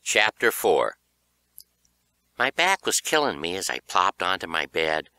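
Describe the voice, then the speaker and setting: Low-voiced, animated male audiobook narrator. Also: unhurried, smooth, no room sound. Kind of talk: expressive children's horror story narration.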